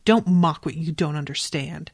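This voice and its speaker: A woman's voice: low voice, moderate pace, husky. A woman voicing a stern, proud male soldier from a novel, stiff, earnest and defensive. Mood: cold, righteous. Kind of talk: audiobook-style novel reading.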